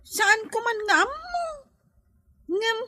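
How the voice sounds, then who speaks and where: A woman's voice: very high-pitched, very animated, slow, bright, forceful tone, no room sound, clean studio sound, exaggerated voice. A woman voicing a sweet, curious young daughter in an Ilocano radio drama.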